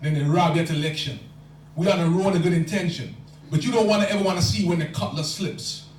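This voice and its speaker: Poet reciting in an echoey hall. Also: male voice, high-pitched, fast. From London. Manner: rhythmic rhyming delivery. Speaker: spoken word poet. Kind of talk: spoken word poetry performance. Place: lecture hall. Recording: echoey hall from far off, faint hum.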